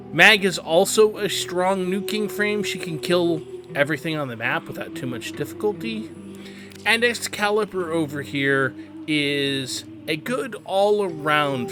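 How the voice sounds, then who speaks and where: A man hosting a video game tutorial in a conversational, explanatory way, stressing key words for emphasis. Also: fairly high pitch. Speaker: game guide host. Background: background music.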